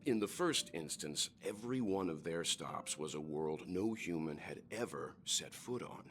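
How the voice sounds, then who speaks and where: A man voicing a seasoned, adventurous gentleman explorer in a game, speaking in a measured, avuncular way. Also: low-pitched, expressive, faint music behind. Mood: quietly excited. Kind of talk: game voice acting.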